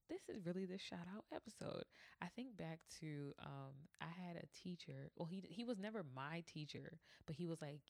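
Deep-voiced woman, candid podcast talk. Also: gravelly, a little mellow, no echo. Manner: candid, conversational, acts out quoted dialogue. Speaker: podcast host. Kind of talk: personal storytelling monologue.

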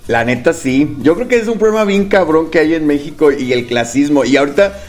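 A young man from Guadalajara with a Mexican accent tells anecdotes in a laid-back, slangy way. His voice is slightly high. Soft music can be heard behind him. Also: fairly quick. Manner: relaxed, slangy storytelling. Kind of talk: casual podcast chat.

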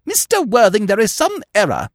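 A man's voice: sits high, wildly swooping pitch, normal speed, no echo, studio quality, voice pushed up, heavily acted. A man voicing a flustered, prim middle-aged spinster governess in a storybook reading. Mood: indignant. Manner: fussy, prim, genteel.

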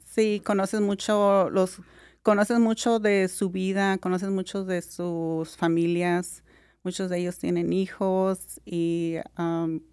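A middle-aged woman with a Mexican accent, a nurse talking as a guest in a relaxed interview, often filling pauses with "am" and dropping in English words. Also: fairly low pitch, smooth, no room sound, clean studio sound. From Mexico (Jalisco). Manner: relaxed, many "am" fillers, mixes English words. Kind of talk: casual interview.

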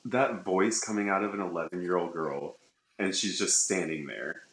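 Low-voiced man reacting with blunt questions. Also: moderate pace, a bit bright, rough recording. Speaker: podcast co-host. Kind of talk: casual chat reactions.

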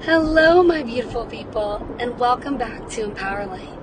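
A woman hosting a wellness podcast, her voice a bit raspy from cheering, talking in an upbeat, encouraging way and guiding breathing exercises. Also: fairly high pitch, lively intonation, moderate pace, over loud music, rough recording. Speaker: podcast host. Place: in a car. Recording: car noise in background.

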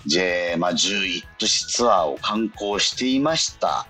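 Man, very deep voice, animated intonation, casual radio chat, agreeing interjections, music behind. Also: unhurried. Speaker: radio show host; stage actor. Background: background music.

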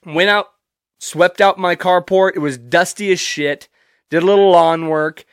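A man's voice: sits high, fairly even intonation, a little slow, a bit bright, clean tone, no echo, clean studio sound. A young man hosting a solo podcast, stuffy and congested from allergies, talking casually and rambling with loose, profane humor. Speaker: podcast host.

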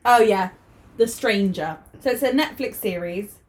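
A woman's voice: medium pitch, unhurried, a little hiss or hum. Woman, twenties, podcast co-host, giggly and chatty. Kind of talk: casual chat.

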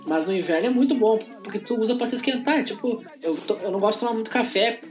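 A man's voice: high voice, quick pace, soft background music. A man in casual group chat, earnestly explaining customs.

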